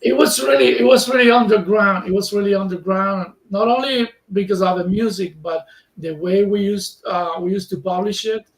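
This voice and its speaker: A man from Cuba, a native Spanish speaker, answering interview questions in English with many pauses and fillers as he searches for words. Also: high voice, echoey room, poor audio. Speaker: magazine editor, interview guest. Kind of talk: casual interview.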